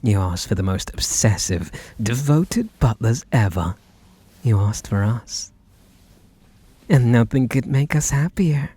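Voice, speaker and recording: A man's voice: mid-range voice, animated, a little slow, warm tone, breathy edge, no room sound. A man voicing an obsessive, devoted young butler who speaks to the listener in an intimate, possessive and teasing way. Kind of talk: roleplay audio drama to listener.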